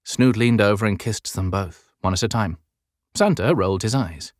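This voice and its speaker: A man's voice: lowish voice, lively intonation, normal speed, no echo, studio quality. A man reading scripted, dramatic audiobook narration.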